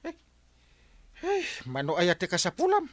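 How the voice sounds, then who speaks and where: An actor playing a hard-drinking, dim, defensive village captain in an audio drama, muttering and stalling with hesitant interjections. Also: male voice, high voice, wildly swooping pitch, normal speed, no room sound. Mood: evasive. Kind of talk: Ilocano radio-style audio drama acting.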